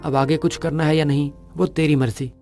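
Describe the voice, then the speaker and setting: A man's voice: on the high side, steady tone, fairly quick, darkish tone, lightly acted. A man playing an earnest, brooding young suitor in a dramatic audio romance. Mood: serious. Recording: music under the voice.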